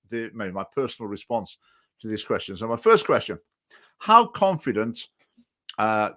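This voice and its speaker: A man's voice: mid-range voice, sharp tone. A man hosting a talk show, speaking hesitantly with many fillers and restarts. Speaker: host. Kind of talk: political talk show chat.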